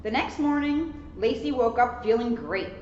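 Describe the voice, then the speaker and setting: A woman's voice: a little high, moderate pace, a little mellow. A young adult woman reads a children's storybook aloud expressively, with chatty, talkative asides. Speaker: police officer reading a children's book. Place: indoor lounge at a borough hall. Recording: echoey reverberant room, muffled, some noise.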